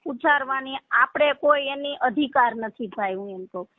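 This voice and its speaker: A woman's voice: moderate pace, dry recording. A woman makes her case earnestly and pleadingly as a caller in a phone argument. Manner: earnest, pleading, addresses listener as brother. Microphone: phone call. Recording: muffled low-fidelity phone call recording.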